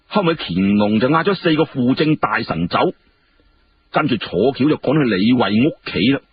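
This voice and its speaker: A very husky man tells a story in Hong Kong Cantonese with lively swings in pitch. His delivery is colloquial and theatrical, full of exclamations. The recording is a little dull. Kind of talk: dramatic Cantonese storytelling narration.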